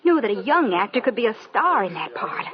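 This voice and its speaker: A resentful young woman, her fairly high voice swooping as she goads her man. She is insistent and melodramatic, heard through a dull vintage radio recording. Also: sing-song, fairly quick, a crowd in the background. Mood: bitter, goading. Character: resentful young woman goading her boyfriend toward revenge. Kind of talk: old-time radio drama acting.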